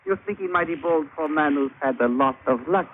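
A man's voice: a little high, phone-quality sound, close to an everyday voice. An actor playing a sly, jovial, scheming island witch doctor who teases in broken English in 1950s radio drama style. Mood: teasing, amused. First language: a language of Papua. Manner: broken English, teasing, unhurried. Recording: thin, hissy old radio broadcast.

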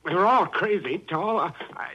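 An actor in 1940s radio drama voicing an injured, guilt-ridden murderer who stammers in panic. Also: male voice, sits high, moderate pace, sharp tone. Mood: pleading. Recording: lo-fi old radio broadcast with faint hiss.

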